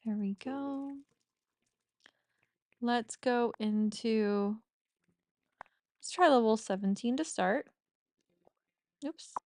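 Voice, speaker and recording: A woman's voice: a little high, drawn-out words, pauses often, a little mellow, dry recording. A woman chatting casually while playing a cozy farming game, giggly and self-mocking, with a slightly stuffy nose. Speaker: gaming streamer. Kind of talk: casual let's-play commentary.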